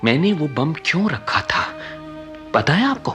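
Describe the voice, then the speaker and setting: An actor in a radio play voicing a troubled, guilt-ridden young man, injured and intense, who speaks haltingly and repeats his words. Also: a little high, big pitch swings, fairly bright tone, soft background music. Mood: intense, bitter. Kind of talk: Hindi radio play acting.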